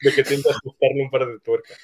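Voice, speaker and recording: A man with an accent from Spain talking casually as an interviewed scriptwriter, thinking aloud with hesitant pauses. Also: mid-range voice, flat delivery, darkish tone. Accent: Spanish from Spain. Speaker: scriptwriter guest being interviewed. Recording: tinny, phone-like line.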